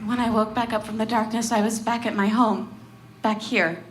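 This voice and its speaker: An actress playing a grave, defiant female survivor, recounting trauma in a dramatic, deliberate way for horror audio fiction. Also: fairly high pitch, fairly even intonation, a little hiss or hum, close to an everyday voice. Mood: haunted. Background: music.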